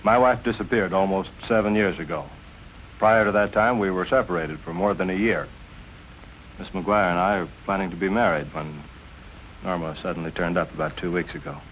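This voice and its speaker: An actor playing a smooth, composed husband in a 1940s film noir crime story. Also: male voice, low-pitched, normal speed, fairly bright tone. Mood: guarded, polite. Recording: noisy, muffled old film soundtrack, distant.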